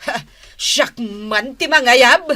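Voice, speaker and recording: A woman's voice: sits high, slow pace, pushed and bright, exaggerated voice. An actress playing a bossy, exasperated woman of the household with a Filipino accent, dryly scolding in a comedic radio drama.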